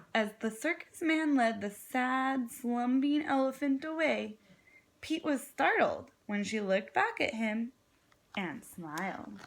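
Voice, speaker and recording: Slow-paced woman reading to young children. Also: a little high. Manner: expressive, dramatic pauses, encouraging. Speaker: kindergarten teacher. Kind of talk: children's read-aloud with prompting questions.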